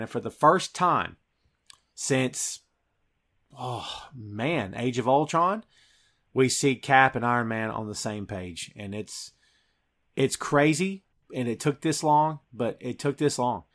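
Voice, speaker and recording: A man's voice: medium pitch, fairly bright tone. A man hosting a podcast, eagerly recapping a film scene by scene in casual chat. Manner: enthusiastic, recapping scenes with a laugh. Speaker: podcast host.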